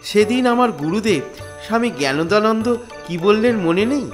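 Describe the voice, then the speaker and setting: A man voicing a kindly, naive retired husband in a comic cartoon, a pompous do-gooder who gushes and gets excitable. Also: sits high, normal speed, soft background music. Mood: earnest, preachy.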